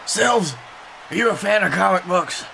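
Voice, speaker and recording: A man's voice: high-pitched, animated, normal speed, gravelly, bright, forceful tone, a little hiss or hum, voice pushed up, heavily acted. A man voicing an odd, grumpy sea-captain wrestling commentator with an offbeat manner. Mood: odd, secretive.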